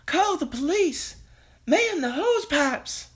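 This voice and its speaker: Narrator's high, fretful storybook mother, wailing and pleading, drawn out. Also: sing-song, takes it slowly, fairly bright tone, exaggerated voice. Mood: frantic. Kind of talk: storybook read-aloud.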